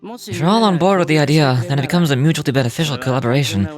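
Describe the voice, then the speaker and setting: A man playing a composed, pragmatic young warrior in fantasy game dialogue, speaking in a measured, matter-of-fact way. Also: fairly high pitch, lively intonation, soft background music, close to an everyday voice. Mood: calm, businesslike.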